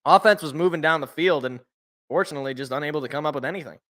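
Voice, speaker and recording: Young guy calling a football game live, kinda rough sniffly voice, bright tone. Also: on the high side, racing. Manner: excited calls, casual asides. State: allergies, a little rough and sniffly. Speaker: sports podcast host. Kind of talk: live play-by-play commentary.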